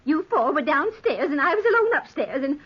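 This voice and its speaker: A woman's voice: sits high, very animated, moderate pace. An actress playing a timid, fretful lady who scares easily, fluttery and anxious in old-time radio horror style. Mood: anxious, insistent. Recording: dull vintage radio recording.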